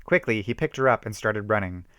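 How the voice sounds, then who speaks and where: A man's voice: lowish voice, expressive, fairly quick, a bit bright, clear, resonant tone, dry recording. Dramatic amateur male story reader, dry sound. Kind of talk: amateur dramatic reading of a homemade horror story.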